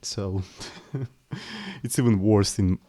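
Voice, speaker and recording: Mellow-voiced man streaming while coding. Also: fairly low pitch, very animated, extremely slow, breathy edge. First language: not English. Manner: hesitant and apologetic, frequent restarts. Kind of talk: casual live stream chat, explaining code.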